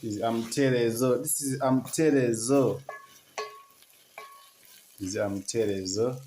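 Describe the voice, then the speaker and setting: A man with a Tanzanian accent chats casually to viewers as he demonstrates cooking, in halting, simple non-native English with short repeated phrases. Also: mid-range voice, warm tone. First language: not English. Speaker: cooking vlogger. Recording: echoey, noisy with cooking sizzle.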